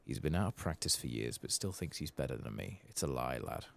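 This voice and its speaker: Man softly playing a low, gravelly bragging dwarf. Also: lively intonation, fairly quick, exaggerated voice. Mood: boastful, dismissive. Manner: bragging, conspiratorial. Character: boastful rival dwarf armorsmith brother, gruff and sly. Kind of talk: soft-spoken reading of game quest dialogue.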